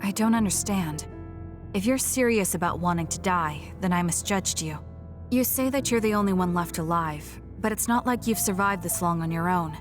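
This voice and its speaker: Music sits clearly behind an actress as a young female soldier in a video game. Her voice is on the low side for a woman, and she speaks bluntly and formally, cool and reserved but caring underneath. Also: normal speed, lightly acted. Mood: cold reproach. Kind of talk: video game English voice acting.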